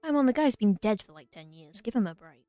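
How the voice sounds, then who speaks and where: A woman's voice: on the high side, expressive, quick pace, warm tone. A woman giving casual, wry, joking commentary as she plays through a video game. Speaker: let's player. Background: game music.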